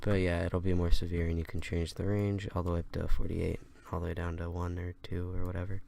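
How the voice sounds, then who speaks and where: A man giving a casual, unscripted software tutorial in a hesitant, halting way. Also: sits low, monotone, creaky, slight background noise. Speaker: tutorial host.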